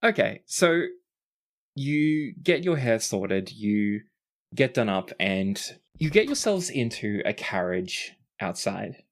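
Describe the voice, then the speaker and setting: A game master tells the story of a tabletop role-playing game. The voice sits at a medium pitch, and the delivery is casual and peppered with swearing. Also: very animated, slow pace.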